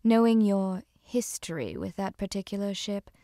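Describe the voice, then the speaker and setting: A woman playing a poised, earnest noblewoman in a video game, speaking in a composed, measured and refined way. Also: medium pitch, lively intonation, dark tone, dry recording, studio quality, natural voice. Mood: confiding, grave. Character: poised, earnest noblewoman who briefs a naval commander. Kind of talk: dramatic game cutscene acting.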